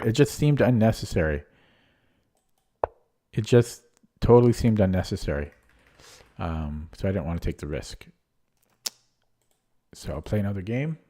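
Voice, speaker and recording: A man's voice: lowish voice, lively intonation, normal speed, pauses often, mellow. A man losing his voice, reviewing his chess game aloud in a casual, hesitant way with frequent ums and restarts. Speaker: chess streamer.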